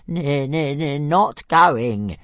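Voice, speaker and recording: Storybook creature: a tiny stubborn dragon with a high squeaky stammer, sulky. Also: male voice, expressive, drawn-out words, a bit bright, dry recording, exaggerated voice. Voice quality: heavy stutter, high squeaky voice. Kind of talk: audiobook character voice.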